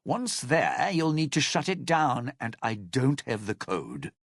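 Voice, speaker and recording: An actor playing a cynical, self-serving ghoul lounge singer in a video game, with a gravelly, husky rasp and sardonic, theatrical, clipped phrasing. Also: male voice, medium pitch, lively intonation, measured pace, fairly bright tone, no echo, clean studio sound. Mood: cautious instructing. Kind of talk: video game character dialogue.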